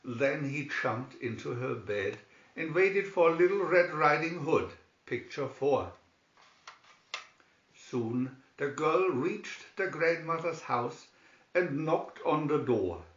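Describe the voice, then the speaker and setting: A man's voice: on the high side, expressive, takes it slowly, a bit bright. A man narrating a scripted fairy tale expressively as a storybook reader. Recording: roomy, slightly dull recording.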